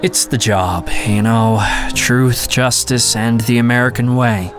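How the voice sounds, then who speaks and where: A man playing an earnest, downcast hero in everyday guise in an audio drama, subdued and measured. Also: mid-range voice, music in the background, natural voice. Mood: resigned.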